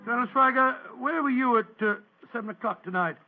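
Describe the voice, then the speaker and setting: An actor playing an earnest, fussy English doctor in an old radio drama, speaking formally and hesitantly with an English accent. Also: male voice, very high voice, a little fast, over loud music. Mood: businesslike. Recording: muffled narrowband old radio recording.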